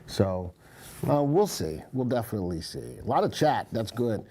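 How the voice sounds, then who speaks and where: A man's voice: medium pitch, animated, normal speed, creaky, mellow, husky. A man co-hosting a live stock market show, chatting casually and joking as he reads the viewer chat, on a muffled mic.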